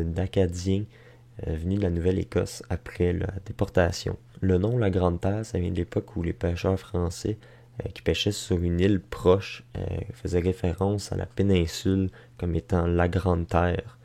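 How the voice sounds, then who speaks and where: A man's voice: sits low, little pitch movement, normal speed, creaky, dark tone, no echo. A man with a Quebec French accent narrating an informal travel vlog in a colloquial, unscripted, hesitant way, on noisy amateur audio. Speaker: travel vlogger.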